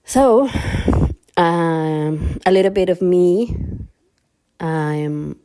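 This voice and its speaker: A young woman from Mexico with a Mexican Spanish accent, a native Spanish speaker, introducing herself in a casual book vlog and speaking slowly and carefully, with hesitant restarts. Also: lowish voice, dark tone, smooth. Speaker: new book vlogger. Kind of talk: casual first-video introduction.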